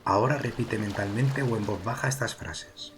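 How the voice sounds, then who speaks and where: A man narrating a guided meditation as its guide, speaking calmly and soothingly in slow phrases with pauses. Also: fairly low pitch, a little hiss or hum. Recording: ocean waves behind the voice. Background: sea waves, water ambience.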